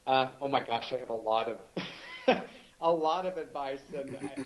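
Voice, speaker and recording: A man being interviewed as an author, talking in a thoughtful, halting way with many restarts. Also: mid-range voice, dull audio, crowd noise. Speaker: author guest. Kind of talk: casual interview chat.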